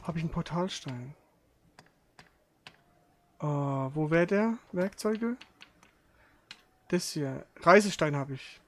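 A man's voice: on the high side, slow, pauses often, slight background noise. A man commenting casually on a video game as he plays, grumbling and swearing in frustration.

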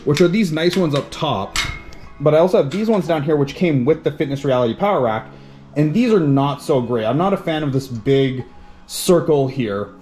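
A man from Canada casually unboxing and installing gear in his home gym, chatty and relaxed, with small restarts as he works. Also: fairly high pitch, normal speed, faint music behind. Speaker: fitness vlogger.

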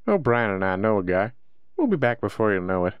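A man's voice: on the low side, very animated, a little fast, smooth, no room sound, lo-fi sound. A man playing a joking, easygoing werewolf brother in an audio drama, with a playful, teasing manner. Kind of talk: roleplay audio drama acting.

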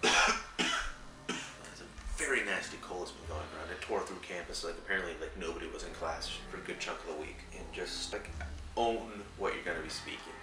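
A young man vlogging casually to camera, a little raspy from a cold, rambling with many fillers in a small room at night. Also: sits low, moderate pace. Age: young adult. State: recovering from a cold, slightly raspy. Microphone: camera a few feet away. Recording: roomy, distant mic, faint buzzing hum.

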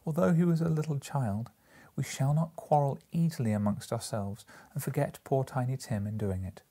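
A man voicing a gentle, grieving father who talks earnestly and tenderly to his children in a storybook reading. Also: medium pitch, moderate pace, voice pushed up, natural voice. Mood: tender, bittersweet.

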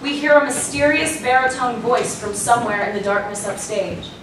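A woman's voice: fairly high pitch, normal speed. A woman reading scripted stage directions as narrator at a staged play reading. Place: small theatre, recorded from audience. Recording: very echoey room, distant mic, faint noise.

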